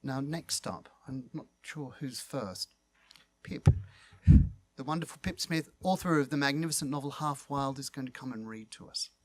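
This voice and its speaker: A man reading a prepared literary talk aloud as a speaker and host, in measured, formal and eloquent phrasing. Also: medium pitch, a bit bright, poor audio.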